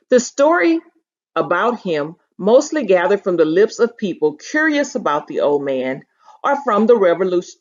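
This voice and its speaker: A woman teacher reading a story aloud in an expressive, emphatic storytelling style, pausing to share her thoughts. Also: on the low side. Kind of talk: expressive story read-aloud with think-aloud commentary. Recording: dull, lo-fi audio.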